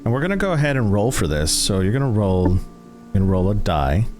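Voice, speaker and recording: A man narrating a tabletop roleplaying game as game master, with deliberate dramatic pauses. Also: fairly low pitch, big pitch swings, darkish tone. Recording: background music under the voice.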